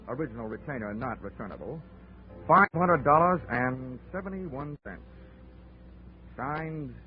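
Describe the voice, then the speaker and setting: A man's voice: mid-range voice, natural voice. Man voicing a dry wry investigator, mid-range voice, tinny old radio broadcast, music underneath. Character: wry male insurance investigator, narrating. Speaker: narrator and lead. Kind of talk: 1940s radio acting.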